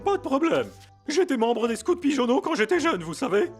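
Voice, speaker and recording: A cheerful cartoon pigeon postman, chatty and folksy. The voice sits extremely high and jumps around with lively intonation, over background music. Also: fairly quick. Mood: cheerful, busy. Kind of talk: French animated film dubbing.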